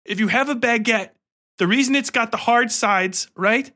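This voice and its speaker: A man's voice: very high voice, normal speed, pushed and bright. A man with an American accent hosting a podcast in a casual comedic rant, slangy, swearing freely and emphatic with exasperation. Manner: slangy, conversational. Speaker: podcast host.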